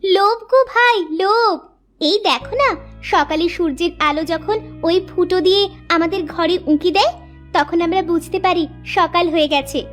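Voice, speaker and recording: Woman playing a dutiful young village girl, very high-pitched, sweet and earnest, cartoon storytelling, faint music behind. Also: a bit bright. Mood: cheeky. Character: young village sisters, dutiful, cheerful, hardworking girls. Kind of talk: cartoon moral story voice acting.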